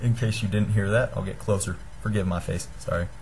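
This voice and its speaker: Halting young man, low pitch, noisy recording. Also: steady tone, creaky, a little mellow. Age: young adult. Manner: halting, apologetic, self-deprecating. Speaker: hobbyist giving a homemade gadget tutorial. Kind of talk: casual how-to demonstration to camera. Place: small room indoors. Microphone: webcam or computer microphone at desk distance.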